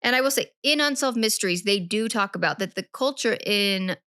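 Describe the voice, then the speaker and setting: A woman's voice: medium pitch, animated, a little fast, pushed and bright, clear, resonant tone, dry recording, studio quality. A woman co-hosting a true-crime podcast, recapping a case in a chatty, rambling way and often correcting herself. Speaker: podcast co-host.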